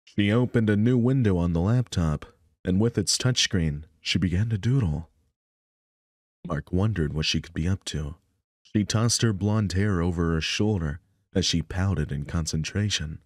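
A man's voice: low-pitched, moderate pace, a little mellow, dry recording, clean studio sound. A young man narrating a visual novel as a storyteller. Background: music.

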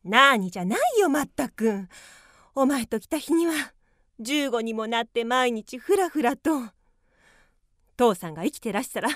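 A woman's voice: fairly high pitch, big pitch swings, moderate pace, no echo, studio quality. A woman playing a worried mother and devoted wife in an earnest, emotive radio drama. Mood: scolding, hushed.

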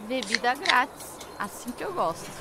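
A woman's voice: on the high side, very animated, normal speed, noisy background. A woman playing a foul-mouthed, irritable, hard-drinking journalist in an audio drama, with a brusque manner. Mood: weary, curt. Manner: brusque, swearing.

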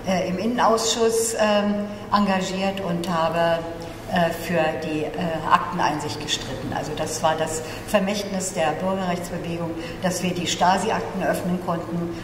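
An older woman from East Germany speaking haltingly and earnestly as a panelist introducing herself. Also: lowish voice. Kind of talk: self-introduction at a panel discussion. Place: large conference room indoors. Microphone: table gooseneck microphone. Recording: echoey hall with clear background noise.

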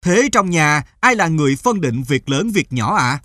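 A man's voice: on the high side, sing-song, moderate pace, sharp tone. A man voicing an eager, inquisitive young TV reporter with an announcer's delivery in a comic cartoon. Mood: brisk. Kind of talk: comic cartoon news presenting. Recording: music behind the voice.

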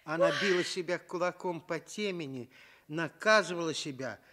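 A man narrating a children's tale for radio with deliberate, expressive phrasing. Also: fairly high pitch. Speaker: storyteller.

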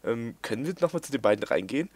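A young man doing casual let's-play commentary, playful and quick to giggle, reading game lines aloud in silly voices. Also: mid-range voice, animated, a little mellow. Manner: giggly, playful, breaks into laughter. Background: faint game music.

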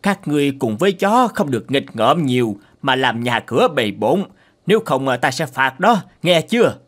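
A man's voice: medium pitch, very animated, moderate pace, a bit bright. A man voicing a stern, scolding master of household pets in a children's cartoon, bossy and exaggerated. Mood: warning. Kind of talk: animated children's cartoon dubbing.

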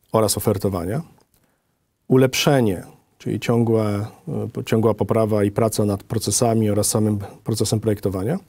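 A middle-aged man delivers a formal professional presentation. His voice is dark and mellow, recorded dry and close, and he sets out his points methodically at a measured rate. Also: lowish voice, dry recording. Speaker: design office director presenting.

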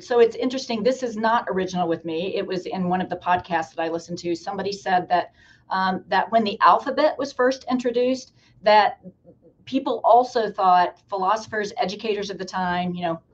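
A woman's voice: medium pitch, fairly quick. A woman hosting and moderating a panel discussion over a video call. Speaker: host, college administrator moderating a panel. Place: office, on a group video call. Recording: slightly dull video-call audio.

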